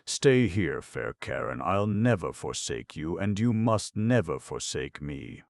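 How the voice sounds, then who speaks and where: A man's voice: rumbling low, animated, a little slow, creaky, no room sound, full character voice. A man doing a weepy, doting lover. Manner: coaxing, tearful endearments. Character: fond, weepy older male lover, coaxing.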